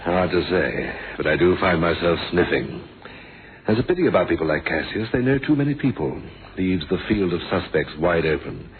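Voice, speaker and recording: An actor playing a measured, dryly thoughtful police inspector in a radio drama, polite and understated in manner. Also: male voice, low voice, lively intonation. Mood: dryly musing. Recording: dull, hissy old radio recording.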